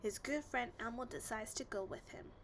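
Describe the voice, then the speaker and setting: A woman narrating a children's story aloud in an expressive read-along style. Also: fairly high pitch, moderate pace, creaky, slight background noise.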